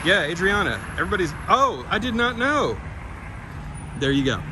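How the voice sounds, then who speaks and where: A man's voice: sits high, big pitch swings, moderate pace. Kentucky man with a Southern twang on a street. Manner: friendly, reads out viewer comments. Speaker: walking vlog host. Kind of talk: live-stream chat while walking. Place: outdoors on a city street. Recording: street noise behind the voice. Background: traffic noise.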